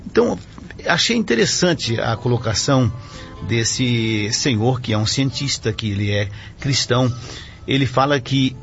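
A man's voice: medium pitch, animated, measured pace, fairly bright tone. Chatty male radio pastor, lo-fi sound. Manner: chatty, explanatory, often adds "né?". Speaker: radio program host and pastor. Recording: lo-fi radio broadcast with faint music.

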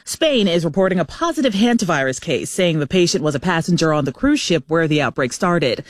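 News anchor guy reading headlines, kinda high voice, dry studio sound. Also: big pitch swings, a little fast, no room sound. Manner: crisp headline delivery. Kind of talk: radio news read.

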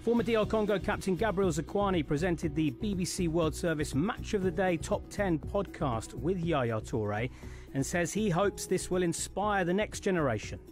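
A man reading a scripted sports bulletin in a crisp announcer's delivery. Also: high voice, expressive, moderate pace. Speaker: sports news reader. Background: music bed.

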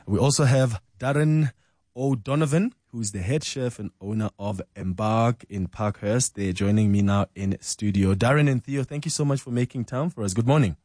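A man's voice: medium pitch, moderate pace, darkish tone, no echo. A man hosting a morning studio interview, asking questions in a friendly, encouraging way. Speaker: radio host. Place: radio studio.

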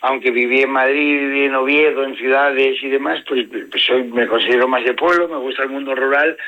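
A man with an Asturian Spanish accent, a comedian guest telling stories in a relaxed interview, laughing often and shifting between slow, stretched phrases and quick bursts. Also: mid-range voice, phone-quality sound. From Asturias, Spain. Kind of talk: casual interview chat.

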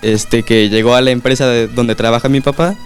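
A man's voice: medium pitch, steady tone, a little fast, soft background music. A young man in a bagpipe band, speaking plainly and hesitantly. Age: young adult.

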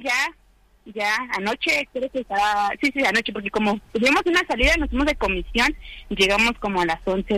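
A woman's voice: medium pitch, sharp tone, low-quality recording. A woman from San Andrés Chicahuaxtla, Oaxaca, whose first language is Triqui, reporting by radio link in a casual, chatty way with many fillers. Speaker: radio correspondent.

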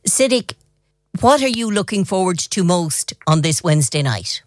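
A woman's voice: lowish voice, sing-song, normal speed, no echo, clean studio sound. A woman working as a radio news reporter, presenting a report and asking interview questions.

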